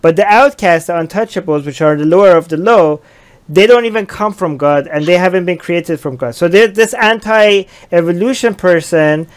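A man's voice: sits high, moderate pace, clean tone. A young man hosting a casual live-stream discussion from a small room, reading out viewer comments and reacting, speaking into a headset. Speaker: livestream host.

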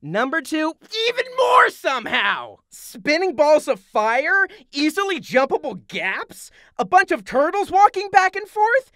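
A man's voice: very high voice, huge pitch swings, measured pace, hardly any pauses, bright, forceful tone, no echo, exaggerated voice. A man playing a straight-laced young villain's assistant in a comedy cartoon, dry, sarcastic and exasperated. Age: young adult. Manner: dry, sarcastic, matter-of-fact.

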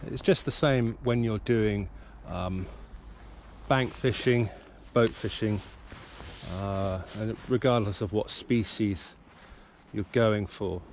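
A man from Cornwall chatting reflectively to his vlog outdoors on a river bank, musing and often pausing mid-sentence. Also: on the low side, a little mellow. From Cornwall, England. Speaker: fishing vlogger, novice salmon angler. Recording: clear outdoor background noise.

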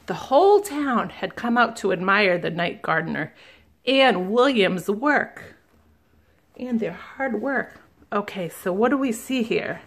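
Slow, gentle woman reading to children. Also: medium pitch, expressive. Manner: slow, gentle and questioning, pausing to ask listeners. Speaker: children's librarian. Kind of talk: reading a picture book aloud to children. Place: indoors at a table, camera above the book.

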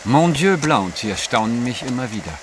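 An actor playing a grumbling, mocking French reporter in an audio drama, teasing in manner. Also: male voice, medium pitch, sing-song, normal speed, natural voice. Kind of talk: German radio play acting. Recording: noisy rain backdrop.

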